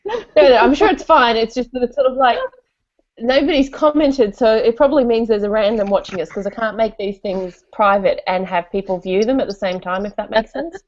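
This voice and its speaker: A woman's voice: a little high, fairly quick, darkish tone. Chatty, giggly Australian woman, dull lo-fi sound. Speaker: host of an online book discussion. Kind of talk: casual chat. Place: live online video call. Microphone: computer call, wearing headphones. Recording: dull, lo-fi internet call sound.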